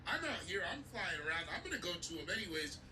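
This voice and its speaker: A man voicing a hyped male cartoon toucan mascot in a high, excitable, preachy tone, recorded from a distant mic. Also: fast talker. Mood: excited. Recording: distant mic, background noise.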